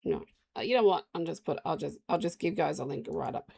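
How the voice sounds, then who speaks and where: A woman streams games while she has the flu, and her voice comes out hoarse and heavily gravelly. Her tone is mellow and dark. She rambles in a casual way, talking straight to the viewers in her chat. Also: deep voice, animated, brisk. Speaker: game live streamer.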